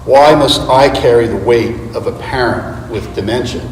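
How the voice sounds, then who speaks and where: A man giving an earnest, deliberate dramatic reading of a script as a church readers theater performer. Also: medium pitch, soft background music. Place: large reverberant church space. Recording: echoey hall, distant mic, background noise.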